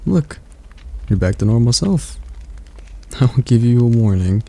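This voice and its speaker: A man voicing a male demon tutor who calmly coaches a young royal in an ASMR roleplay, speaking slowly and softly in a coaxing way. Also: mid-range voice, creaky. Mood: reassuring. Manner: slow, soft-spoken, coaxing.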